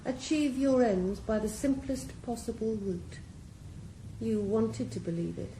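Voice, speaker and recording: A woman playing a cool, menacing crime boss in a radio drama, speaking in measured tones. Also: a little high, dark tone, a little hiss or hum, low-quality recording. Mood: cold. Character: cold, calculating female crime boss.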